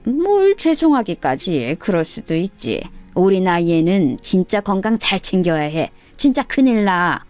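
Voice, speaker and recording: A woman voicing a nosy, chummy older female cleaner in an animated, gossiping way for a story reading. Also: lowish voice, measured pace, hardly any pauses, soft background music. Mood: concerned. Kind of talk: dramatised radio story reading.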